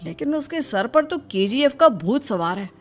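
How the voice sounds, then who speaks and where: A woman's voice: on the high side, very animated, moderate pace. A woman voicing a fed-up, complaining young husband and son in a cartoon story. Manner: whining, fed up. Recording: music behind the voice.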